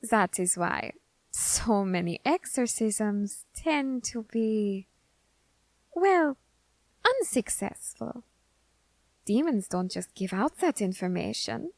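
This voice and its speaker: A woman's voice: mid-range voice, lively intonation, no room sound. A woman playing an eager, curious young Grim Reaper scholar in an ASMR roleplay, fascinated and politely menacing. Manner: fascinated, deliberate, politely threatening. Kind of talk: ASMR roleplay addressed to the listener.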